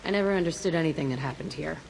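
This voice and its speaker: A woman's voice: deep voice, rapid-fire, gravelly, a little hiss or hum. An actress playing a tough, bitter young woman who talks bluntly and swears freely. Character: tough, profane young woman, a former test subject. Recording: reverberant with loud game music.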